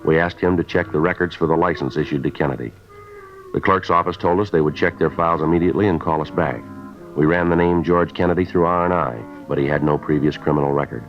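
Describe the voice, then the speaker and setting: An actor playing a stoic, businesslike police detective, clipped and matter-of-fact, in a muffled 1950s radio crime drama. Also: male voice, rumbling low, steady tone, quick pace, soft background music. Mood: deadpan. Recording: muffled old radio broadcast.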